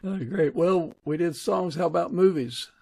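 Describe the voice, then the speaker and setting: A man's voice: on the high side, normal speed, vocal fry, mellow, breathy edge, no room sound. A man hosting a casual interview podcast, putting questions to a guest and responding. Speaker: podcast host.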